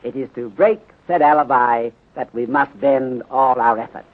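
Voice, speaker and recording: Male detective inspector, acted by a man, courteous and deliberate, clipped article-free formal English, old thin-sounding radio recording. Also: medium pitch, lightly acted. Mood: calm, deliberate. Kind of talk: 1930s radio drama acting. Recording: tinny narrow-band 1930s radio recording.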